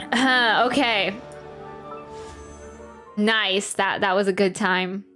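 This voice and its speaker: Slow-paced woman streamer chatting casually. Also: medium pitch, steady tone, a bit bright, faint music behind. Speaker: game streamer. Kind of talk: casual livestream chat and reaction.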